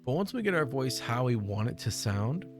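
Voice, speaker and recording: A young man talks through a tutorial in an informal, reassuring way. His voice sits at a medium pitch, recorded close on a studio mic, with music clearly playing behind him. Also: sing-song, normal speed. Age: young adult. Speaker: streamer giving a software tutorial. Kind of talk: casual step-by-step tutorial. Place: small room at home, indoors. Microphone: close studio mic on a boom arm. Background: background music.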